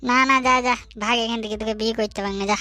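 A man playing a bossy, teasing young friend in a comic cartoon, using colloquial rural western West Bengal dialect and a pitched-up voice. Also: steady tone, fast talker, sharp tone, dry recording, faint music behind, full character voice. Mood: annoyed. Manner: colloquial, mocking, scolding.

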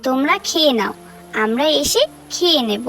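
A woman's voice: sits high, animated, normal speed, gravelly, a bit bright, soft background music, exaggerated voice. A woman voicing a caring, devout young village girl, an earnest and encouraging older sister in a cartoon story. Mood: earnest, reassuring.

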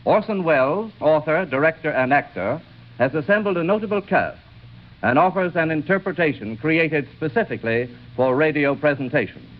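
Male announcer, formal vintage radio delivery, fairly high voice, phone-like old recording. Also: moderate pace. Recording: tinny, band-limited 1930s radio recording.

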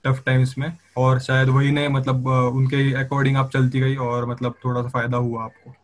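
Wordy Indian man interviewing, mid-pitched voice. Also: monotone, normal speed. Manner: wordy, rephrases questions at length. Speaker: interviewer, channel host. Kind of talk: casual interview questions. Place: remote call on social media live.

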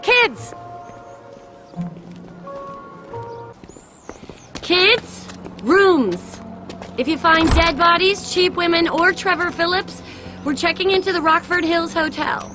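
A woman's voice: very high voice, a little slow, music in the background. An actress playing an exasperated middle-aged American wife and mother in a game, scolding and scornful. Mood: brisk, bossy.

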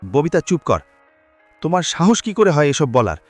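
A man's voice: a little high, sing-song, normal speed, faint music behind. Overwrought haunted young man, wildly swinging delivery by an actor. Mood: angry. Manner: overwrought, pleading, exclaiming. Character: panicky young man haunted by his dead lover. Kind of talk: melodramatic horror cartoon dialogue.

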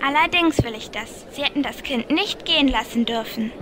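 An actress playing a haughty, indignant rich heiress in an audio drama, snobbish and accusing. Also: female voice, sits high, moderate pace, noisy background, natural voice. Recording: crowd murmur behind.

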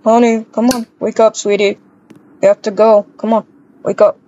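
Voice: mid-range voice, level tone, normal speed, mellow, natural voice. Young husband and dad played by a roleplayer, affectionate, coaxing, repeats himself. Game roleplay, muffled sound. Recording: dull lo-fi microphone.

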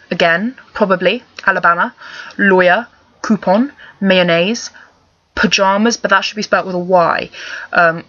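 A woman's voice: on the low side, fairly bright tone. A young woman with a posh English Home Counties accent from Surrey, chatting casually in a wry, rambling, sweary way at her webcam. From Surrey, England. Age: young adult. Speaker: vlogger. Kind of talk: casual chat answering accent challenge questions. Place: small bedroom, indoors. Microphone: laptop webcam at desk distance. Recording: dull lo-fi webcam sound.

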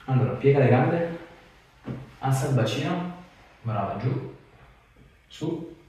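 Echoey, low-pitched man giving short instructions. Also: animated, takes it slowly, warm tone. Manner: short, clipped directions. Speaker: chiropractor. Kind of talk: hands-on instructions to a patient, casual chat. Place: small bare treatment room, indoors. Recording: very echoey room, distant mic, faint noise.

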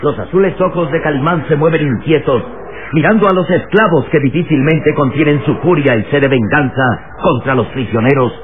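A man's voice: a little high, brisk, noisy background, natural voice. A man narrating an adventure radio serial in a dramatic, declamatory way. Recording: tinny old radio recording with music.

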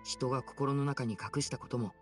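A man's voice: medium pitch, steady tone, normal speed, soft background music, natural voice. An actor playing a playful, suave young male stage magician in an anime game, talking like a coaxing showman. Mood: playful, confiding. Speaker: character voice actor.

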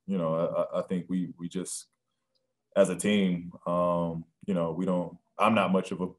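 Deep-voiced soft-spoken man, halting. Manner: soft-spoken, halting, many ums and restarts. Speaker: NBA player at postgame press conference. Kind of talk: postgame press conference answers. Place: press room with sponsor backdrop.

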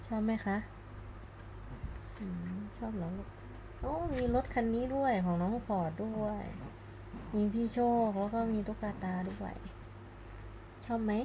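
A woman, a mother doing picture-book reading and gentle baby talk, coaxing with simple repeated questions. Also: medium pitch, takes it slowly, pauses often. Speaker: mother reading a bedtime story to her baby. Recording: noisy home recording.

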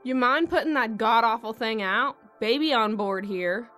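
Actress as blunt bossy woman, fairly high voice, rustic talk, audio drama. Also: moderate pace, a bit bright, soft background music, natural voice. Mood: scolding. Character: bossy, blunt pregnant woman living rough.

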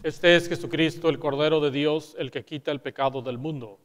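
A man, a priest, solemnly reciting liturgical prayers at Mass in a measured way, in a large church. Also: on the high side, over music. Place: large church interior, nearly empty. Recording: reverberant church hall.

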